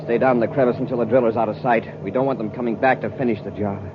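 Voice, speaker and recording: Man acting a rapid-talking space commander hero, noisy old radio. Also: medium pitch, steady tone, muffled recording, natural voice. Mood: steady, alert. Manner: crisp, commanding, urgent orders. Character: brave, decisive space patrol commander hero. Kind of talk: 1950s radio adventure serial acting.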